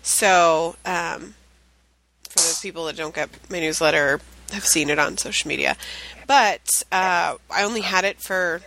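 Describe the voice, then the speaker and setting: Bright-voiced chatty woman podcast host. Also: lowish voice, a little slow, a little hiss or hum, low-quality recording. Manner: chatty and rambling, with frequent ums. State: recovering from the flu, with a chest cough. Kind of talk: casual chat. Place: home office, at a standing desk.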